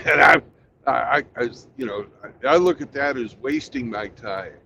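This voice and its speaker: A man hosts a casual talk and speaks in a blunt, direct way. His voice comes through a dull, lo-fi recording. Clear background noise runs under it. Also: mid-range voice, normal speed. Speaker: host. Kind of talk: casual chat.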